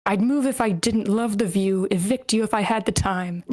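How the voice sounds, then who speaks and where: A woman's voice: mid-range voice, normal speed, a little mellow, natural voice. A woman playing a haughty, disdainful rich noble in a screenplay table read over an online call.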